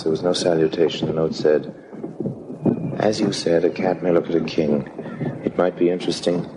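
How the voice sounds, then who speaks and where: A man's voice: sits low, heavy background noise. An actor playing a suave, roguish con man who narrates his own scheme in an urbane, confiding old-time radio style. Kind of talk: old-time radio drama acting, first-person narration. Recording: old radio recording with music behind. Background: music.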